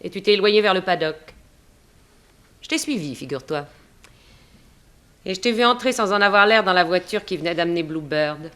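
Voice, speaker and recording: A woman's voice: on the low side, a little fast, long pauses, a bit bright, close to an everyday voice. An actress playing a rich man's shrewd, demanding mistress in an early 1960s radio drama, with a cutting, teasing manner. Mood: accusing. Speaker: actor.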